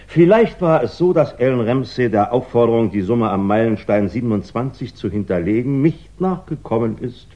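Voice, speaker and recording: An actor playing a smug, blustering police inspector in a 1960s German radio crime drama, accusing and emphatic. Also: male voice, medium pitch, lively intonation, moderate pace, few pauses, warm tone, lightly acted. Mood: smug, insinuating. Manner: emphatic, officious, insinuating. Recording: dull 1960s radio recording.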